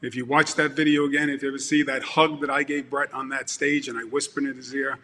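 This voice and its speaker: A man in his fifties with a Brooklyn accent, giving an animated motivational talk over a video call and repeating words for emphasis. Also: mid-range voice, fast talker, in a large hall, slight background noise. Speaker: event producer speaking to a conference. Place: home room with plants and lamps, indoors. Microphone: video call webcam.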